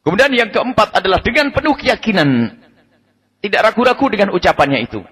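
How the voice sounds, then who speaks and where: A man giving a lively, emphatic religious study lecture, repeating his key points and chuckling now and then. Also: high-pitched, a little fast, breathy edge. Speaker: preacher. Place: study gathering hall. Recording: radio broadcast with long hall reverb.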